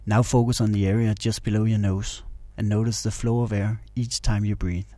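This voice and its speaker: Male meditation narrator: low, even-toned, calm and instructional delivery. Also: dry recording. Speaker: meditation guide.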